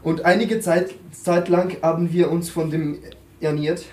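A man's voice: on the high side, level tone, measured pace, a little mellow. A man reading a text aloud in turns with another reader, now and then stumbling over a word. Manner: occasional stumbles over words. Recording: roomy with faint hiss.

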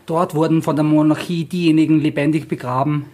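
Man, slightly high, hesitant. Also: fairly even intonation, a little fast, a little mellow. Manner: hesitant, many fillers when speaking freely. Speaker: book presenter. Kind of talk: casual introduction and alternating reading aloud.

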